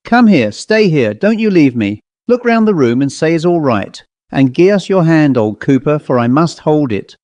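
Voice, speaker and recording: A man voicing a gruff, troubled country squire with a rough, blustering way of speaking. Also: fairly high pitch, sing-song, moderate pace, hardly any pauses, a little mellow, no echo, lo-fi sound. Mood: frightened. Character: gruff, guilt-ridden male country squire.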